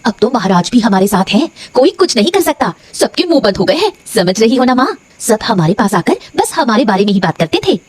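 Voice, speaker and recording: A woman voicing a brash sweet-shop woman in an animated Hindi moral story, with an exaggerated, expressive delivery. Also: fairly high pitch, a little fast, hardly any pauses, husky. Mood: gloating. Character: brash, gloating sweet-shop women and puzzled village wife.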